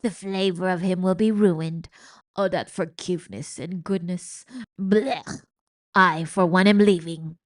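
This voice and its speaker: A cruel old dungeon rat who delights in others' misery, loud and sneering with a cackling edge. A woman reading aloud acts him out. He booms his jeers in a slow, drawn-out storybook voice. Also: natural voice. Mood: gleeful mocking. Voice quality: booming and mocking, with cackling laughs. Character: gleeful cruel male rat, loud, mocking.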